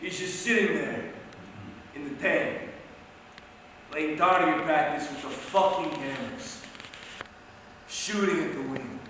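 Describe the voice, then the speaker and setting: An actor playing an anguished, foul-mouthed younger man in a dramatic stage monologue, speaking emphatically in street talk with dramatic pauses. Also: on the high side, fairly bright tone. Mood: bleak. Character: anguished, foul-mouthed younger man grieving over his soldier brother. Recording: very echoey hall, distant mic, faint noise.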